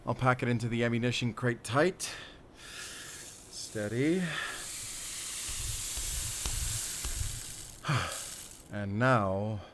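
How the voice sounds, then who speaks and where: Man acting a measured, battle-worn werewolf hunter. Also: medium pitch, a bit bright, a little hiss or hum. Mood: focused. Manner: measured, deliberate, pausing between short phrases. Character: battle-worn male werewolf hunter, calm, wry, quietly tender. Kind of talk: cinematic audio roleplay acting.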